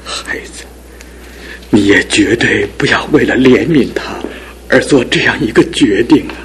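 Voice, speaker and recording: A man's voice: medium pitch, a little slow, fairly bright tone, a little hiss or hum, natural voice. An actor playing a kindly, worried older father in a studio radio drama, speaking in a fatherly, pleading way. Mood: concerned. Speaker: fiancé's father.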